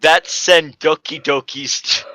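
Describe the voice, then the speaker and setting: A man's voice: a little high, fairly even intonation, slow, pushed and bright, no room sound. A man giving a comedic dramatic reading of fan fiction as narrator, stumbling and correcting himself.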